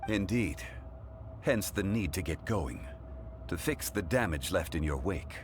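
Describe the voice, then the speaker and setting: Calm courtly elder lord, deep and even, over game score. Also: male voice, a little slow. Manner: calm, dry, formal. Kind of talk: game cutscene voice acting. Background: game score music.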